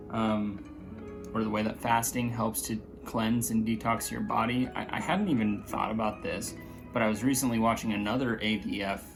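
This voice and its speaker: A man with a slightly raspy voice chatting casually in a vlog, hesitant, with many fillers and restarts. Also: fairly low pitch. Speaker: vlogger.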